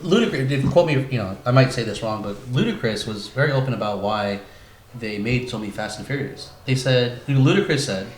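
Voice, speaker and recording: Fast-talking man in a roomy space. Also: mid-range voice, animated. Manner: rambling, slangy, swearing. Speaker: podcast co-host. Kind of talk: casual podcast chat. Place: room used for podcast, indoors. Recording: roomy echo, faint noise.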